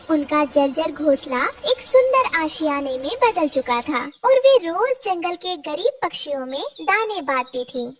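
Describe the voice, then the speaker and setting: A woman's voice: sits high, recorded from a distance. A woman narrating a dramatic cartoon story in a scripted storytelling style.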